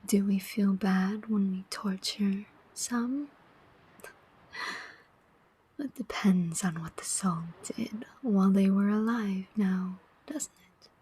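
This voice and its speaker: A woman acts out a sardonic demon collector of souls in an ASMR roleplay. The voice is a very breathy whisper, drawn out very slowly. The demon coaxes and persuades with pointed, deliberate questions. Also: mid-range voice, dark tone. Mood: sly, righteous.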